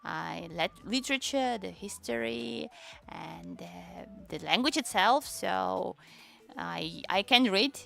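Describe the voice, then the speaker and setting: Young woman on stream, tatar is her first language, lots of ums, kinda gravelly voice. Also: medium pitch, expressive, bright, forceful tone. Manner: halting, searching for words, many fillers; switches between Japanese and English. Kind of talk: casual chat stream. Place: lives in Japan.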